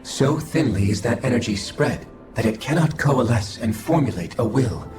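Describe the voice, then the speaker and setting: A man voicing a coldly logical, formal alien scholar in a video game cutscene, speaking in a measured, clinical way. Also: medium pitch, expressive, natural voice. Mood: clinical, detached. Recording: echoing voice over game music.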